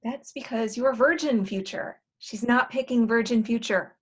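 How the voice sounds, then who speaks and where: Actress as bossy, high-voiced female matchmaker. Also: husky. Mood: exasperated. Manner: brisk, sardonic, directive. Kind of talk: virtual table read of a comedy play.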